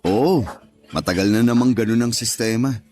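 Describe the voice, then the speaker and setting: A man's voice: medium pitch, moderate pace, a little mellow, natural voice. An actor playing a kind, easygoing young Filipino male nurse in a radio drama. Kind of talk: Tagalog radio drama acting.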